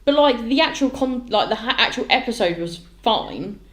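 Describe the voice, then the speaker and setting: A woman chats loudly on her podcast, her voice swooping up and down as she laughs and cuts in. The sound bounces around an echoey room. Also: fairly high pitch, expressive, moderate pace. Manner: loud, talks over people, laughs often. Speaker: podcast co-host. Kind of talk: casual chat.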